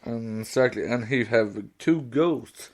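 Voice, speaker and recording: A man's voice: mid-range voice, a little slow, darkish tone. A man with a Swedish accent chatting casually as a podcast co-host, searching for words in non-native English. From Sweden. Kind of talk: casual chat.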